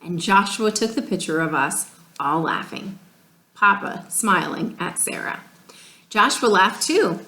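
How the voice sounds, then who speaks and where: A woman's voice: medium pitch, unhurried. Woman reading a storybook in an echoey room. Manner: expressive, addressing children directly. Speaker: third grade teacher reading aloud. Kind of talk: storybook read-aloud.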